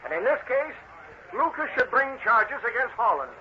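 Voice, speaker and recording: Blunt veteran newsroom boss, high male pitch, muffled 1940s radio drama. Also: sing-song, normal speed. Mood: blunt, exasperated. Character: blunt, seasoned male newspaper city editor. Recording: dull, hissy old-time radio recording.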